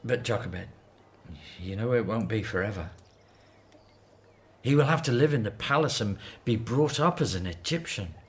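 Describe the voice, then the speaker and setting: Expressive, devout Hebrew father. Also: lowish voice, moderate pace. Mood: thoughtful, resigned. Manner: earnest, expressive. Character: devout, anxious Hebrew father in ancient Egypt. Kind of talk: biblical radio drama acting.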